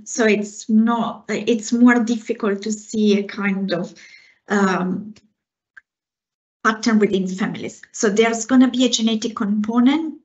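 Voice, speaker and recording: A woman in her forties from Italy with an Italian accent, a researcher earnestly answering questions after her talk over a video call. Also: mid-range voice. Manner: earnest, explains with hand gestures. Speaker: guest speaker, researcher. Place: home room, indoors. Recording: slightly dull video call audio.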